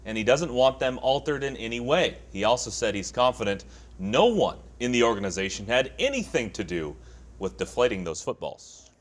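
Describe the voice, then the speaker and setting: A man's voice: medium pitch, very animated, moderate pace. An American man giving a conversational sports report as a station's sports director.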